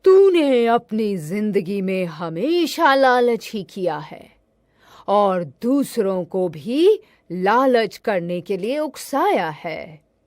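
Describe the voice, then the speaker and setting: A woman voicing a stern, menacing male god of death with slow, solemn pronouncements in a cartoon moral tale. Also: mid-range voice, big pitch swings, no room sound, studio quality, voice pushed down. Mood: stern, condemning.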